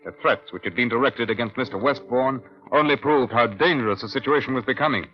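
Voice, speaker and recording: An actor playing a senior British police inspector in an old-time radio crime drama, measured and authoritative with a dry, wry edge. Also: male voice, mid-range voice, lightly acted. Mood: grave, measured. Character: male senior Scotland Yard police inspector, brisk and wry. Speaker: narrator and lead detective. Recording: dull, lo-fi vintage radio recording.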